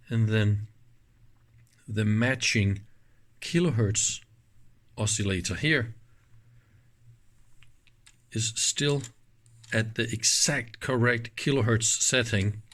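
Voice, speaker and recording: A man gives a casual, hands-on technical demonstration in an informal, enthusiastic manner. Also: medium pitch. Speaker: host of an electronics teardown channel.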